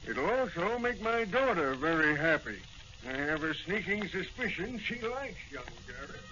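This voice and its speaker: An actor playing a fond, authoritative police commissioner in 1940s-style radio drama, formal and emphatic. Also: male voice, high voice, sing-song, normal speed. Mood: concerned, fond. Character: gruff but fond police commissioner and father. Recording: noisy, muffled old-time radio recording.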